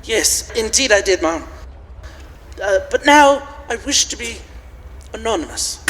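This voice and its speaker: A man playing an excitable, emphatic old gentleman, a reformed miser, in a live radio play, with stammered eagerness. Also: sits high, a little slow, slight background noise. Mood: giddy, eager. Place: large church, live performance. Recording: echoey church hall, live stage.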